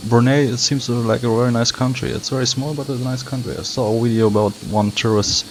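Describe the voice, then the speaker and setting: A young man with a gravelly, creaky edge to his voice, calmly reading out flight checklists as he streams a flight simulator at home. Steady game noise runs beneath his voice. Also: medium pitch, quick pace, poor audio. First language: German. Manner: reads out checklist items, relaxed. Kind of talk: casual gameplay commentary.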